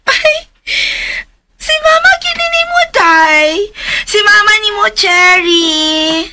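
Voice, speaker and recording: An anxious woman from a radio drama, sneaking through a house and then crying out in panic, as a woman plays her. Her voice is very high and bright, drawn out slowly with wide swings. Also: expressive, full character voice. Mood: frantic.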